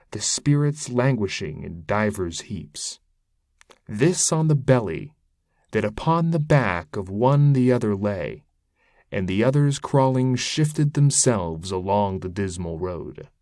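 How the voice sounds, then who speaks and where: A man reading epic poetry aloud as narrator, in a solemn, measured, declamatory manner. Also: mid-range voice, a little mellow, no echo, clean studio sound. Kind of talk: dramatic recital of epic verse read aloud.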